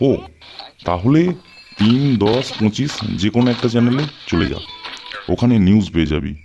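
Low-voiced cocky young man, acted by a man. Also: lively intonation, moderate pace, creaky. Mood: smug. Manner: taunting, defiant. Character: cocky, mocking, hot-tempered young man. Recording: slightly dull sound with music.

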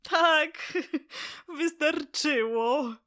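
A woman as a very slow, proud old willow tree. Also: high-pitched, bright, forceful tone, full character voice. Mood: grudgingly reconciled. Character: proud old weeping willow tree, touchy but softening. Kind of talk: radio play acting.

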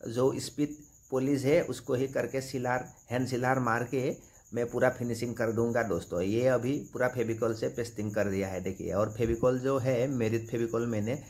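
A man's voice: medium pitch, fairly even intonation, normal speed, darkish tone, breathy edge. Young man explaining, step by step. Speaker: carpenter demonstrating on own channel. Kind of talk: informal how-to narration.

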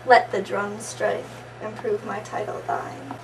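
A woman playing a scornful, bitter noblewoman in amateur Shakespeare, slow and disdainful, recorded far from the mic with noise. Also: medium pitch, flat delivery, vocal fry. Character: haughty, scornful royal noblewoman, jealous and bitter. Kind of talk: amateur Shakespearean stage acting.

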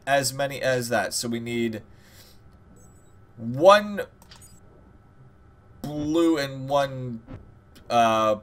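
A man's voice: medium pitch, fairly bright tone. A man giving casual, jokey let's-play commentary as host, rambling and swearing freely. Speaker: host of a gaming channel.

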